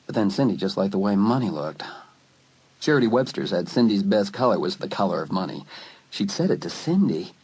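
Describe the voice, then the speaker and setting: A man narrating a dramatised teen horror story in an expressive, dramatic storytelling manner. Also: lowish voice, a little fast, husky. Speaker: audiobook narrator.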